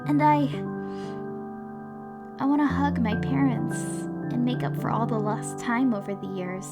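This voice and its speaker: A woman plays a dreamy, hopeful young girl with a high voice. She is gentle, earnest and a little wistful, in cartoon comic-dub style. Music is clearly heard underneath. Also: measured pace. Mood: hopeful longing. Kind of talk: scripted comic dub voice acting.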